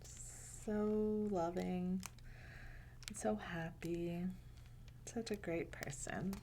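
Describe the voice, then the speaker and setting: A woman reading a picture book aloud to children in a gentle, unhurried way, with casual asides. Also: on the low side, steady tone, a little hiss or hum. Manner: gentle, unhurried, pausing between short phrases.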